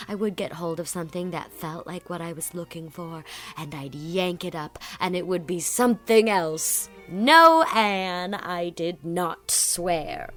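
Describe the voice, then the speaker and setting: Scatterbrained young student played by a woman; medium-pitched, melodramatic, chatty storybook delivery. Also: a bit bright, lightly acted. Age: young adult. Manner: theatrical, exasperated, rambling. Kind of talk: dramatized audiobook acting.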